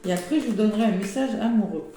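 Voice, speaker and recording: Hoarse French woman reading cards, echoey. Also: mid-range voice, quick pace, dark tone. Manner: chatty, with many fillers and exclamations. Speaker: tarot and oracle card reader. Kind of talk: casual weekly astrology card reading. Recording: very echoey room with faint music.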